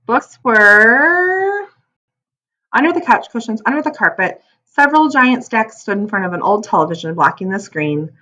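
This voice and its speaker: A woman narrating a children's book aloud as an expressive storyteller. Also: mid-range voice, brisk.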